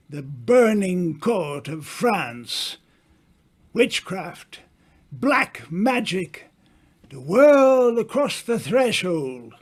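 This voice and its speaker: Man acting worldly older writer, old-time radio mystery, high-pitched for a man, lively intonation, grandiose, teasing, self-assured. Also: heavily acted. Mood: dramatic, teasing. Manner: grandiloquent, deliberate, dramatic pauses.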